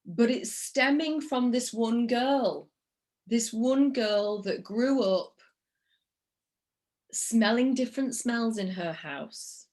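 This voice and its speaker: A woman's voice: fairly high pitch, slow pace, darkish tone. Woman, Yorkshire accent, podcast host, effusive, repeats words for emphasis, casual chat. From England, Yorkshire.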